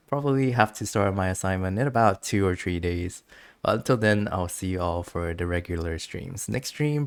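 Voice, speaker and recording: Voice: low voice, no echo, studio quality. A streamer chatting casually to viewers over gameplay in a giggly, rambling way, reading game text aloud at times.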